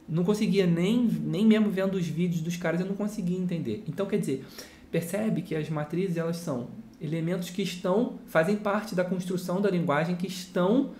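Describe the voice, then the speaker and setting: A man's voice: high-pitched, warm tone, slight background noise. A man from Brazil teaching guitar in a casual lesson, explaining with rhetorical questions and a lot of "look here" asides. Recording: roomy sound with guitar playing.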